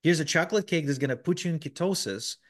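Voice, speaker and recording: A man's voice: fairly high pitch, quick pace. Man explaining at length, many fillers. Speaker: podcast guest. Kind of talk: conversational interview answers.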